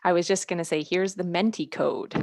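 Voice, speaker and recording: A woman presents informally in a low voice with plenty of vocal fry. She speaks haltingly, and the sound is close and dry. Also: fairly even intonation, a little mellow, dry recording. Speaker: presenter, ocean education coordinator. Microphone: video call.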